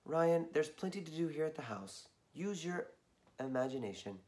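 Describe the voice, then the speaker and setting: A man voicing a patient, tired mother who speaks gently but firmly, a little hoarse. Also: a little high, moderate pace. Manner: calm, gently firm.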